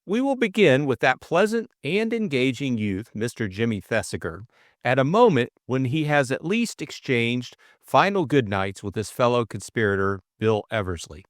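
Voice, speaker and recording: A man's voice: mid-range voice, sing-song, normal speed, no echo, clean studio sound. A man narrating a scripted mystery story as an audiobook reader.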